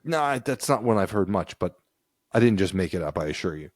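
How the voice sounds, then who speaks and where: Low-voiced man co-hosting a chat. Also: sing-song, a little fast, dry recording, studio quality. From United States. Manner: reads passages aloud, then riffs conversationally. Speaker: podcast co-host. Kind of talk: casual chat.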